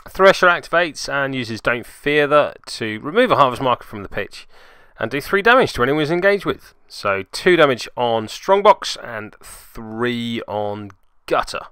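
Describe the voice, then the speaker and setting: A man's voice: mid-range voice, big pitch swings, measured pace, pushed and bright, smooth, dry recording, clean studio sound. A man giving casual commentary as host of a tabletop game report, with a wry, self-deprecating manner.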